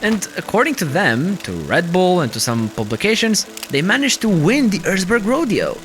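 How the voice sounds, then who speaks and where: A man's voice: sits high, big pitch swings, normal speed, fairly bright tone. A man reading scripted narration as a documentary-style narrator. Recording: music bed under the narration. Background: clear background music.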